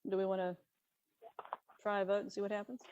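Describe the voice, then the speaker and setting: A woman with a mid-range voice chairs a public meeting in an informal way. She speaks tentatively, in halting phrases, seeking consensus. Faint noise sits on the online meeting audio. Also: medium pitch, flat delivery, a little mellow, lo-fi sound. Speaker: commission chair.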